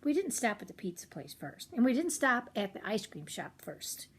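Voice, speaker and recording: A woman's voice: on the high side, big pitch swings, normal speed, slightly rough. An older woman reading a children's chapter book aloud expressively, slipping in chatty little asides to young listeners. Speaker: children's read-aloud host.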